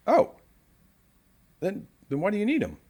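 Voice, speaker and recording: Man playing a high-pitched, animated radio host. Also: measured pace, heavily acted. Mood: nervous, awkward. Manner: chatty, stammering. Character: nervous, friendly male radio show host after the apocalypse. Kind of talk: scripted radio audio drama.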